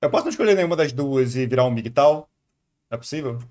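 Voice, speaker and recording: A man's voice: mid-range voice, expressive, racing, over music. A man with a Brazilian accent chatting casually as a streamer reacting to a video, slangy and joking. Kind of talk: casual reaction chat, talking to viewers.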